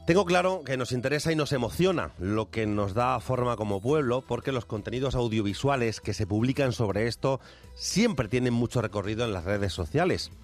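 Spanish guy hosting radio, really lively intonation, thoughtful talk, bit of music under him. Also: medium pitch, normal speed. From Extremadura, Spain. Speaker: radio host. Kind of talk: reflective radio monologue and chat.

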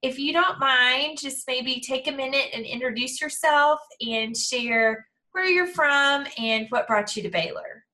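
A woman's voice: sits high, measured pace, few pauses, pushed and bright. A woman hosting an interview podcast, introducing a guest and asking upbeat, encouraging questions. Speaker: podcast host.

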